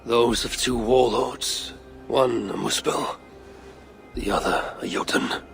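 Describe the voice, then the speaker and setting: Gravelly, brooding Norse god. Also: male voice, medium pitch, faint music behind. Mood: grave, reflective. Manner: measured, grave, hushed at times. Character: grave, brooding older male Norse god and anxious father. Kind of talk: video game cutscene acting.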